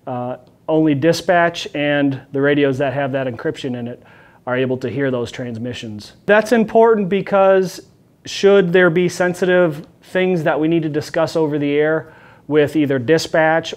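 A man's voice: a little high, normal speed. A middle-aged man, a police officer, giving an informal explanation to camera in an indoor meeting room.

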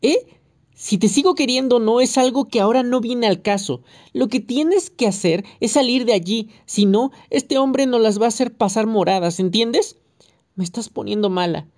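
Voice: animated, moderate pace, close to an everyday voice. A reader voicing a hysterical young mother who shrieks and scolds into a phone in an audiobook. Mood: shrill scolding. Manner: shrill, yelling, scolding.

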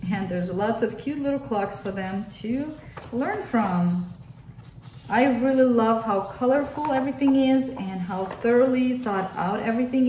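A woman's voice: a little high, a little mellow. Russian-accented mother in an echoey room. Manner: friendly, explanatory, repeats words for emphasis. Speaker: homeschooling mother. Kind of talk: casual workbook flip-through review. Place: indoor room at a table. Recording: roomy, distant mic, faint hiss.

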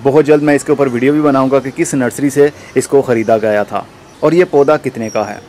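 A man's voice: medium pitch, brisk, darkish tone, slight background noise. A man hosting an informal how-to gardening video, explaining step by step to the viewer. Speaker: gardening channel host. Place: outdoors in a rooftop garden.

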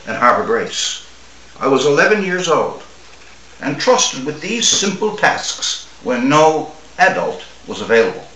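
Expressive older man reading, slow and animated. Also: a little high. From Newfoundland, Canada. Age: older, 60s or 70s. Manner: measured, expressive storytelling with dramatic pauses. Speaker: author reading own short story. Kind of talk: reading a literary short story aloud. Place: small room at home or office, seated at a table. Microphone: camera a few feet away. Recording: roomy, distant microphone.

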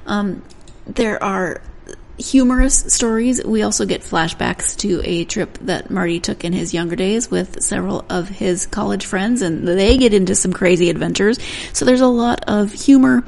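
A woman hosts a book podcast in a chatty, self-correcting way. Her voice has a gravelly edge. It sits at an ordinary middle pitch. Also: moderate pace, darkish tone, low-quality recording. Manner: chatty, self-correcting, laughs at own slips. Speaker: podcast host. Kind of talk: solo intro to a book interview.

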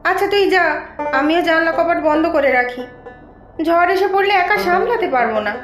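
A woman playing a caring, concerned young village housewife in a cartoon, hospitable and gentle in manner. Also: very high voice, expressive, brisk. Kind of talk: Bengali cartoon voice acting. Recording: roomy echo, faint music bed. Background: music.

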